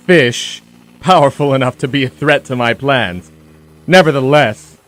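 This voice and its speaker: A man's voice: mid-range voice, natural voice. An actor playing a grandiose, taunting male villain in an audio drama, drawing out his words with menace. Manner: theatrical, taunting, drawn-out delivery.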